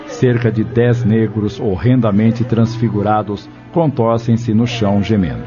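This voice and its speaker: Low-voiced male narrator over loud music. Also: steady tone, measured pace. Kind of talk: scripted audio drama narration. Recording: muffled lo-fi, loud music behind.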